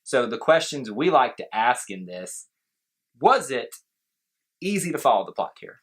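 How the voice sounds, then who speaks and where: A man co-hosting a casual movie review, talking in a playful, excitable and emphatic way. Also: medium pitch, measured pace.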